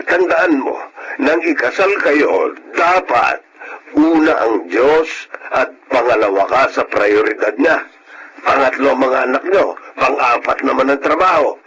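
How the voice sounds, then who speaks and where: A man's voice: mid-range voice, a bit bright, a little hiss or hum, rough recording. A man with a Filipino accent playing a concerned, principled older father in a radio drama, earnest and admonishing as he gives advice.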